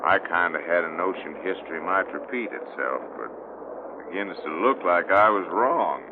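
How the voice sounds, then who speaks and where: Through a muffled, noisy vintage radio recording comes an easygoing detective with an extremely low voice. He talks in a folksy, laconic American way, unhurried and amiable. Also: animated. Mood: musing, calm. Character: easygoing drawling male police detective, quietly sweet-talking. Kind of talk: 1930s radio acting.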